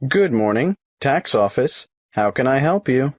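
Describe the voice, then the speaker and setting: A man's voice: mid-range voice, animated, unhurried, dry recording, clean studio sound. A man playing a tax office clerk in a scripted exam dialogue, patiently asking questions and explaining procedures. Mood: businesslike.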